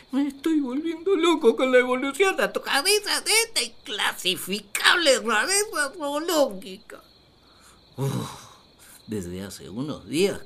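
A woman plays a naive, puzzled first man in a radio play, full of his own importance. The delivery is slow and swings very widely in pitch. He ponders like an amateur naturalist, pompous and perplexed. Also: fairly bright tone. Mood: exasperated, then baffled. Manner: theatrical, perplexed, pompous musing. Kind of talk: radio drama diary monologue.

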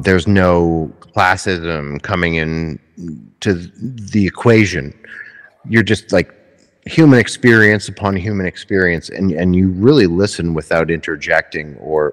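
A low-pitched man, a musician guest on a podcast, speaks in a reflective, unhurried conversational way. He often stumbles and doubles words before finding his point. The room gives his voice a long, lingering reverb. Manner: reflective, stammering repeats. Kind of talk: casual conversation.

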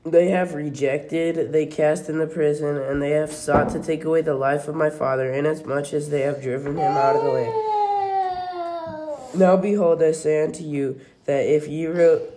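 A young reader reading scripture aloud haltingly, stumbling over words and correcting them. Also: medium pitch, lively intonation, mellow. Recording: noisy home recording.

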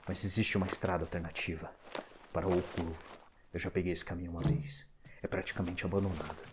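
An actor playing a panicked, paranoid husband and father in an audio drama, breathy and stammering as he moves between whispers and outbursts. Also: low-pitched, level tone, a little fast, noisy background, lightly acted. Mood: urgent. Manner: frantic, breathy, stammering, lurching from whispers to shouts.

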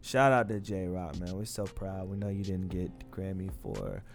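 Laid-back young man giving shout-outs. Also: low voice, fairly even intonation, normal speed, gravelly, music in the background. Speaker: podcast co-host. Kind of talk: casual chat about music.

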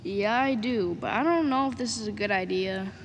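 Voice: medium pitch, moderate pace, natural voice. A performer playing a hesitant schoolboy sidekick in an amateur radio drama. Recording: noisy, long reverberant hall sound.

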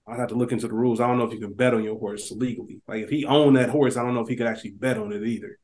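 A man co-hosting a podcast, reasoning out loud in a quick, animated way about a TV show. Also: medium pitch. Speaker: podcast co-host. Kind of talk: casual TV recap chat.

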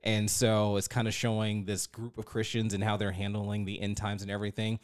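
A man's voice: on the low side, completely flat delivery, a little fast. Playful thirty-year-old man, podcast host. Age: 30s. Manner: playful, informal, exclamatory. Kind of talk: casual chat.

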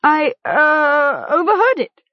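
A woman's voice: high voice, big pitch swings, slow pace, no room sound, highs cut off, pitched above the performer's own voice, full character voice. A woman voicing a frightened but defiant boy in a storybook, with indignant, emphatic protests. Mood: nervous. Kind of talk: storybook character acting.

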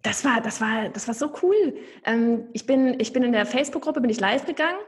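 A young woman talking casually as a podcast guest, lively and chatty with many fillers and restarts. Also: on the high side, a little fast. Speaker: podcast guest, online course creator.

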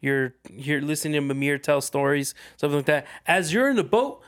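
A man's voice: fairly high pitch, animated, fairly quick, fairly bright tone. A man talking casually as a podcast co-host, often repeating phrases for emphasis. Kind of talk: casual chat about video games.

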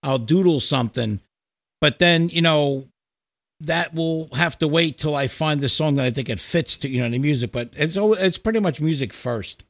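A man with a fairly high voice hosts a laid-back chat about music. He talks bluntly and informally, cursing freely. The sound is close and dry. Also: moderate pace, no echo. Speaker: podcast host and musician.

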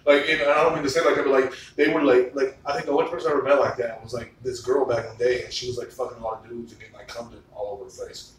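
A man's voice: mid-range voice, flat delivery, rapid-fire, hardly any pauses. Man in his thirties, distant and echoey. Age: 30s. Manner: loose, profane storytelling with frequent "like". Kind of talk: casual chat. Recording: echoey room, far from the mic, faint noise.

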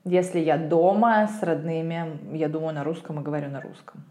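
A woman from Russia, an English teacher co-hosting a podcast, telling stories in an animated, emphatic way. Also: deep voice, fairly quick. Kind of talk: casual podcast chat. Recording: roomy echo.

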